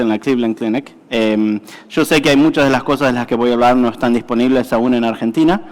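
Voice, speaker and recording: Brief: male presenter, halting delivery, echoing lecture hall. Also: mid-range voice. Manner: halting, many fillers and self-corrections. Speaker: conference speaker, cardiologist. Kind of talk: medical conference talk. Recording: reverberant hall.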